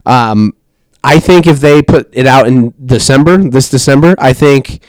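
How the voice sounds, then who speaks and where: A man's voice: medium pitch, unhurried, dry recording, low-quality recording. A young man hosting a casual podcast, laid-back, laughing often and swearing casually. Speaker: podcast host. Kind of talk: casual chat.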